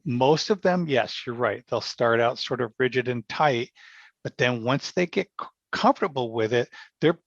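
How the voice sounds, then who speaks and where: A man talking casually as an interview guest, explaining through anecdotes, often with halting starts. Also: mid-range voice, creaky, dry recording, lo-fi sound. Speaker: podcast guest, stage actor and director.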